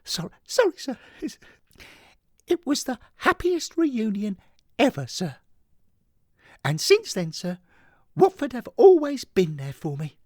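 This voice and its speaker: A man voicing an eager, emotional young English lad in an audio story, excitable and deferential, forever adding "sir". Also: very high-pitched, measured pace, long pauses, dry recording, clean studio sound, pitched above the performer's own voice, exaggerated voice. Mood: apologetic, happy. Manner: excitable, punctuates phrases with "sir". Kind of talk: scripted audio story monologue.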